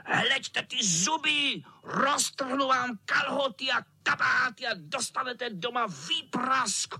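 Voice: sits high, vocal fry, bright, forceful tone, husky, no echo, voice pushed up, full character voice. A storyteller voicing a spiteful, mischievous old male cat who stammers, cackles and scolds in a wheezy squeal. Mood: menacing. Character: spiteful, mischievous old tomcat, blustering and whiny.

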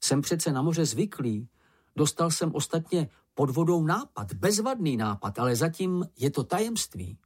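A narrator voicing a good-natured young male troll child, curious and calm, in a matter-of-fact manner. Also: medium pitch, husky, voice pushed up. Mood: confident.

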